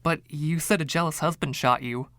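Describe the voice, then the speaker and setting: A man's voice: fairly high pitch, moderate pace, pushed and bright, no room sound, studio quality. A man voicing an exasperated, doubting pianist in a short story reading, questioning in an incredulous way. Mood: doubtful. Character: exasperated, doubting male pianist narrator named Andre.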